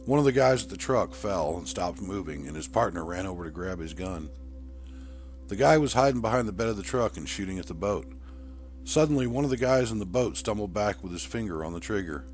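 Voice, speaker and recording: A man's voice: lowish voice, animated, brisk, darkish tone. A man reading a short story aloud as a scripted audiobook narrator. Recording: music bed under voice. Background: background music.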